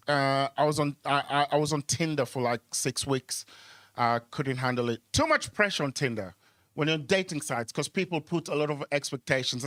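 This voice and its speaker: A man's voice: mid-range voice, a bit bright, no echo, clean studio sound. A man from Kenya doing conversational stand-up on a club stage microphone, hesitating and repeating words as he goes. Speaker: stand-up comedian. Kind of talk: live stand-up comedy, conversational storytelling with crowd work.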